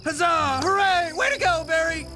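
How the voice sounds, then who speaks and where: A man voicing an eager, wisecracking, slightly nervous young bee in a cartoon game, animated and self-deprecating, with music behind him. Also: very high-pitched, moderate pace. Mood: excited.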